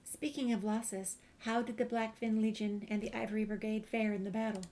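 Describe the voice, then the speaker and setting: Female walkthrough host: fairly high pitch, casual commentary, reads in-game choices aloud. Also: level tone, a little fast, mellow. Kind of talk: casual playthrough commentary, reading dialogue options aloud.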